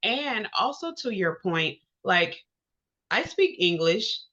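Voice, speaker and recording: Woman from Georgia, bright forceful tone, dull lo-fi recording, informal interview host, affirming, casual. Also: mid-range voice, big pitch swings, slow pace. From Georgia, United States. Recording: dull lo-fi audio.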